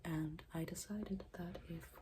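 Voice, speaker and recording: A woman playing a morbid, deadpan schoolgirl in an ASMR roleplay, speaking softly and formally, often slipping into a whisper. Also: fairly low pitch, background noise, close to an everyday voice. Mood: formal, ominous. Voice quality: soft, breathy, often dropping into whisper. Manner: deadpan, formal, deliberate wording.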